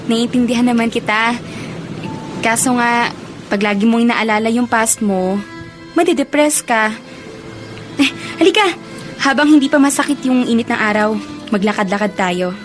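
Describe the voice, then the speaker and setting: Casting: woman as caring young Filipina student, high-pitched, soothing, radio drama, faint music behind. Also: moderate pace, pauses often, close to an everyday voice. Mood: soothing, coaxing. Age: young adult.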